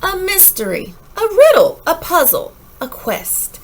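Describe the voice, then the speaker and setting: A woman reading a children's picture book aloud in an expressive, animated way with dramatic emphasis. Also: very high voice, a little slow.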